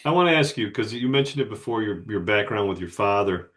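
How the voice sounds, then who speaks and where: A man with a fairly low-pitched voice co-hosts an interview podcast. His delivery is casual and conversational as he talks with the guest. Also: animated, a little fast, fairly bright tone. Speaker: podcast co-host.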